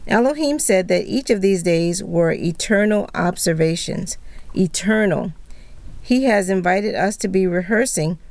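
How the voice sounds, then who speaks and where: A woman co-hosting a religious podcast, reading a script in a calm, measured way. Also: medium pitch, no echo. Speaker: podcast co-host.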